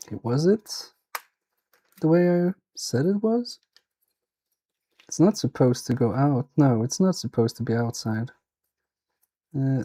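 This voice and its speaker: Slow-talking Swedish-accented man. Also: medium pitch, dark tone. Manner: thinks aloud, mutters to himself while working. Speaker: repair live streamer. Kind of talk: casual live-stream commentary while repairing.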